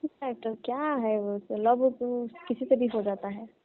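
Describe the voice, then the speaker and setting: A woman's voice: a little high, steady tone, a little slow, no room sound, lightly acted. A woman playing a lovesick, flirtatious village woman with a Bihari accent, coaxing and coy in a comic phone call. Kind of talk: comic prank-style phone call, conversational. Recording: muffled narrowband phone call.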